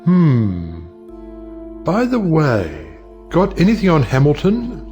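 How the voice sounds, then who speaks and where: An actor playing a wry, worldly judge in an audio play, with a dry, sardonic way of talking. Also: male voice, fairly high pitch, wildly swooping pitch, takes it slowly, warm tone, lo-fi sound, soft background music, exaggerated voice. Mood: dry, teasing. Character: wry, cynical male judge, worldly and teasing. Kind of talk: audio play acting of a 1910s stage comedy.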